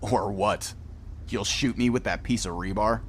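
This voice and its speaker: A man playing an eerie, deadpan young man joined with a cosmic Void in an audio drama. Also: on the low side, a little slow. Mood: dry, deadpan. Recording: loud noise behind the voice.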